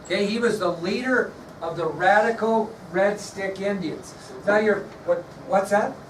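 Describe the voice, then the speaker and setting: Casting: American male schoolteacher, high voice, explanatory lecture delivery with repeated key phrases, picked up from across the room. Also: a little slow. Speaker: history teacher. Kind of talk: classroom lecture with questions to students. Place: classroom. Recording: echoey room, distant mic, background noise.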